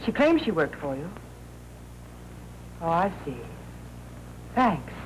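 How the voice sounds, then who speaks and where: An actress with an American accent playing a poised, sharp and stubborn newspaper reporter in a 1940s crime film. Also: female voice, fairly low pitch, big pitch swings, takes it slowly. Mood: businesslike. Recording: noisy 1940s film soundtrack.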